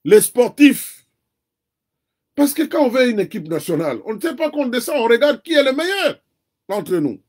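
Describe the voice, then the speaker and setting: High-voiced Congolese man, earnest. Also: big pitch swings, darkish tone. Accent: Congolese French. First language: Kikongo. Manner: earnest, deliberate, lecturing. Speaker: interview guest. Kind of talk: political commentary in an interview.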